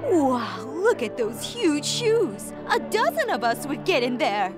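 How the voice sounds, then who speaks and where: A woman's voice: sits high, sing-song, slow, heavily acted. A woman voicing an amazed, exclaiming tiny townsperson in a cartoon fairytale. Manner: wide-eyed, exclaiming. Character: amazed tiny female townsperson gawking at a giant. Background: music.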